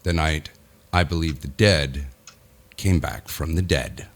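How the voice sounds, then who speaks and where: A man's voice: very deep voice, slow, over loud music, exaggerated voice. An actor playing a weary, self-important businessman who confides his nightmare theatrically in a horror audio drama. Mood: brooding, ominous. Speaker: lead actor narrating in character. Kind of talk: dramatic first-person audio horror narration.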